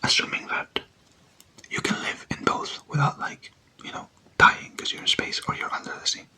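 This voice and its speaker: Casting: ASMR whisperer, very bright and breathy, casual and playful, conversational questions to the listener. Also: moderate pace. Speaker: ASMR content creator.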